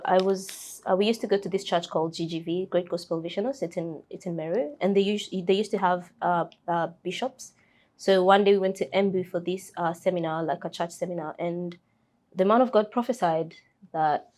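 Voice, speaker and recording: A young woman from Kenya with a Kenyan accent, telling her story in a casual interview, speaking softly with many fillers and restarts. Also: fairly low pitch. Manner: soft-spoken, frequent fillers and restarts. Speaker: interview guest.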